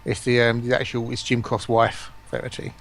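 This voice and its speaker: A man's voice: medium pitch, monotone, normal speed, vocal fry, fairly bright tone, a little hiss or hum. A man talking casually on a stream, putting questions to the others in the group. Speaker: stream participant asking questions.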